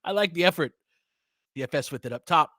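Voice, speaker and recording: Animated, bright-voiced man calling basketball. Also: on the high side, brisk, no room sound, clean studio sound. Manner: informal fan reactions, slangy. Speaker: host of a fan play-by-play stream. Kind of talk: live basketball play-by-play commentary mixed with casual chat.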